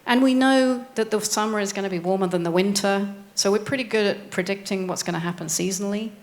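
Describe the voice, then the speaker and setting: A woman's voice: mid-range voice, quick pace. A woman oceanographer on a panel, speaking conversationally and tossing in rhetorical questions. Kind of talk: panel discussion.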